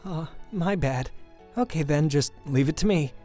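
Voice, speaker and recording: A man's voice: fairly high pitch, normal speed, warm tone, faint music behind, lightly acted. A man voicing a hesitant, deferential male student informant in a comic dub, casual and hedging. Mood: uneasy.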